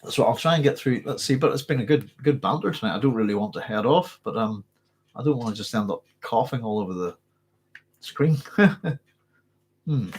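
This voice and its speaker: Man, Northern Irish accent, live stream host, husky voice, scratchy throat, chatty and meandering. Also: medium pitch, expressive, moderate pace, pauses often. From Northern Ireland. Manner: rambling, chatty, frequent fillers and restarts. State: tickly throat, coughing. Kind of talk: casual live chat reading comments.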